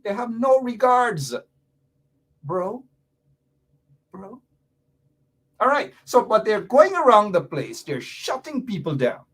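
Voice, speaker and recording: A Guyanese man hosting a radio talk show, delivering fiery, sarcastic political commentary with mocking repetition. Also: high voice.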